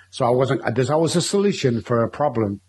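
A man from Manchester with a Mancunian accent tells his story as an interview guest, candid and rambling, often restarting his sentences. His voice sits at a middling pitch and carries a husky, slightly rough texture. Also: lively intonation, moderate pace, a little mellow. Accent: Mancunian English. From Manchester, England.

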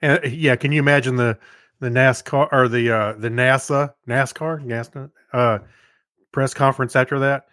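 A man's voice: mid-range voice, steady tone, normal speed, a bit bright, dry recording. Man chatting casually, dry close sound. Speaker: podcast co-host. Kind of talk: casual chat.

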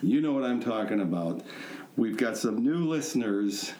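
A man's voice: on the low side, expressive, normal speed, mellow. A man hosting a podcast in a casual, chatty way, with a joking, self-mocking manner. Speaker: podcast host. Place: echoey indoor studio room. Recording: echoey room sound.

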